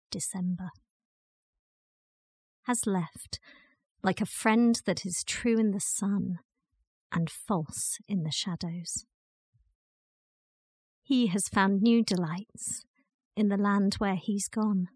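A woman reciting a poem with measured, deliberate phrasing and pauses. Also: medium pitch, darkish tone, husky, no echo, clean studio sound. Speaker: volunteer or staff poetry reader. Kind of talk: poetry recitation.

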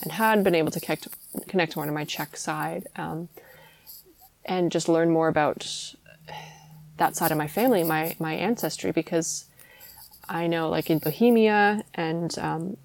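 A woman's voice: sits low, normal speed, creaky. A young woman hosting a podcast, chatting casually and laughing often. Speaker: podcast host. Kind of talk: casual chat.

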